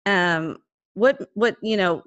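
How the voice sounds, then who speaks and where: A woman's voice: medium pitch, slow, dry recording, dull audio. A woman hosting a faith podcast, reflective and affirming as she talks with her guest. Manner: warm affirming listener, reflective. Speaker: podcast host. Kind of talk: faith-based podcast conversation.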